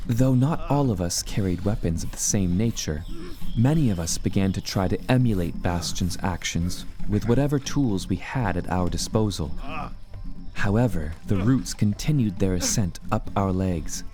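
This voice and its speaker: A man narrating dramatic scripted audio fiction in the first person, with measured, deliberate storytelling. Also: deep voice, dark tone. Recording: music behind the voice.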